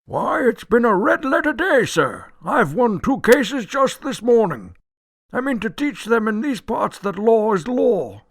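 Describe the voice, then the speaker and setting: An actor in a radio drama playing a cantankerous, litigious old gentleman, pompous and indignant, declaiming in a creaky voice. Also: extremely high pitch, sing-song, a little slow, dry recording, studio quality, heavily acted. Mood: boastful, triumphant. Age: older.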